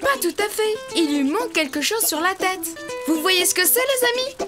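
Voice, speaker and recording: A woman's voice: extremely high pitch, expressive, normal speed, over music. A woman voicing a cheerful, confident young boy character in a preschool cartoon, explaining things in a friendly way to young viewers. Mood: cheerful, instructive. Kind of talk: preschool cartoon voice acting, drawing tutorial.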